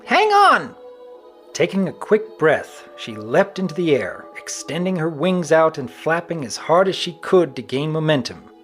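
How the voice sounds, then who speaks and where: A man's voice: on the high side, animated, moderate pace, hardly any pauses, faint music behind. A man narrating a story from a script in a dramatic reading style.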